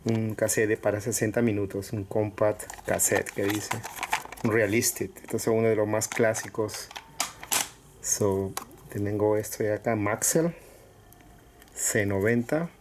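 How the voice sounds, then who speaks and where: A man casually presenting items to viewers in short, halting phrases with pauses. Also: on the low side, fairly even intonation, darkish tone. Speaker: collector showing cassettes for sale. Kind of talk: casual product showcase. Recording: clear background noise with clicks.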